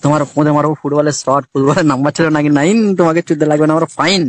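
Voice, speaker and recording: A man's voice: fairly high pitch, fairly even intonation, rapid-fire, dry recording. A man bantering on a phone call in a crude, teasing, swearing way. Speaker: phone caller. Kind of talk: casual, bawdy phone banter.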